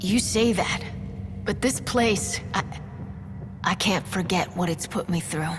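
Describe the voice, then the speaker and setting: A woman playing a grieving, wounded survivor in a video game, speaking in a halting, emotional way. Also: lowish voice, lightly acted. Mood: pained. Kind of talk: video game dramatic acting. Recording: long hall reverb, music behind.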